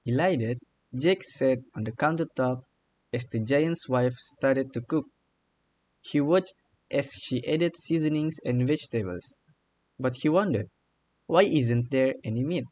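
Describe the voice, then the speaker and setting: Mellow male storybook narrator. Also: medium pitch, a little slow. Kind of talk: scripted storybook narration for radio drama.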